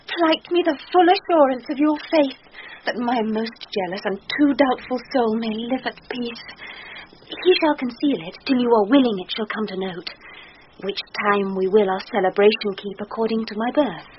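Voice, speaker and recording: A muffled, noisy radio recording of a woman playing an ardent noble lady. Her high voice delivers formal Shakespearean verse with anxious, loving urgency. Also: normal speed, natural voice. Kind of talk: classical radio drama acting, Shakespearean verse. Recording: dull lo-fi radio recording, clear noise.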